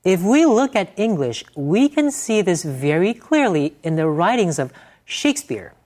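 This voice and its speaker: Lively lower-voiced woman narrator. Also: a little slow. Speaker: segment narrator. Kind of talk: scripted narration.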